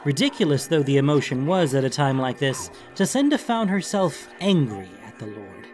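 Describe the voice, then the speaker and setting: A man's voice: medium pitch, sing-song, moderate pace, a little mellow. A man reading a scripted fantasy story as an audiobook narrator, in a dramatic storytelling style. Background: background music.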